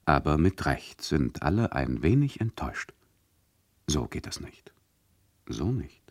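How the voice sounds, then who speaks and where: An actor playing an ironic, mock-polite and condescending radio play narrator who addresses the listeners. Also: male voice, extremely low pitch, very animated, a little slow, no room sound, full character voice. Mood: mock solemn.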